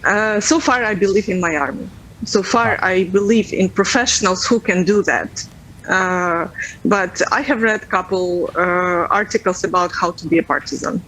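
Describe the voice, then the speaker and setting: A woman in her thirties from Ukraine, a native Russian speaker, speaking English with an accent in a remote news interview, earnest and halting with many fillers. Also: lowish voice, a bit bright, low-quality recording. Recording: faint background noise.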